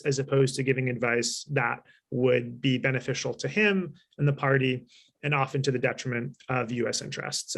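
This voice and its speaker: A man giving measured, articulate, opinionated answers as a guest author and consultant in an online talk. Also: medium pitch, a bit bright. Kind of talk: explanatory interview answers at a virtual talk.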